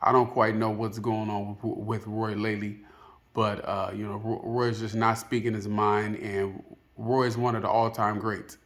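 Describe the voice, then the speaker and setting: A man's voice: fairly low pitch, level tone, moderate pace. A man giving casual, unscripted opinion commentary as a video host, rambling and hesitant, often padding with filler phrases. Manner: hesitant, rambling, peppered with "you know".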